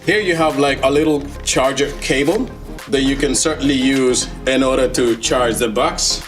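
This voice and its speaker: A man's voice: medium pitch, moderate pace, soft background music. A man giving casual, enthusiastic unboxing commentary as a tech reviewer, in a playful, slangy, joking manner. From Netherlands. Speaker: tech reviewer, video host.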